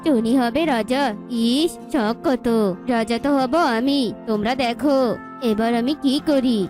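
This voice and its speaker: A woman's voice: on the high side, a little slow, music in the background, lightly acted. A woman voicing a greedy, scheming crow villain in a cartoon, boastful and grumbling. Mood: scornful scheming.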